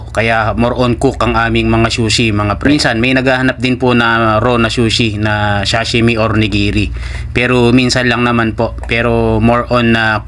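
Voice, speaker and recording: A Filipino man narrates a casual food vlog in a matter-of-fact way. His voice sits at a middle pitch and stays very even, moving step by step through the work with English terms mixed in. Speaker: food vlogger and restaurant sushi cook.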